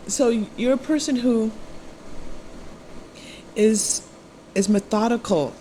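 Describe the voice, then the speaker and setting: A woman host speaking casually to viewers as a tarot reader, gently reassuring and halting as she reflects. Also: fairly high pitch, rough recording. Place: temporary indoor recording spot. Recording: steady air-conditioner hum behind voice. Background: air conditioning running.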